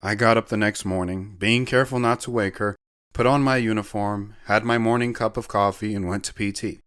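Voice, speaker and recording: Man acting a low-voiced, earnest wandering veteran. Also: fairly even intonation, a little fast, a bit bright, smooth, no echo, studio quality, natural voice. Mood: somber, reflective. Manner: earnest, sentimental, reflective. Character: earnest, wandering male war veteran telling his life story. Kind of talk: dramatic storytelling in an audio drama.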